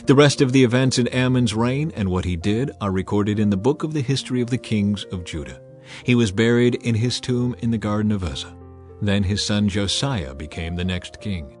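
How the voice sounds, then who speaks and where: A man's voice: on the low side, normal speed. Solemn man reading scripture. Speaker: narrator. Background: soft music.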